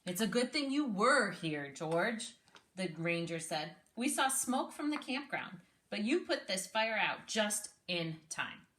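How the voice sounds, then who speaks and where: Bright-voiced woman reading to children. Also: mid-range voice, measured pace. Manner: animated, prompting, encouraging. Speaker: read-aloud host, speech therapist. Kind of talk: children's picture-book read-aloud with questions.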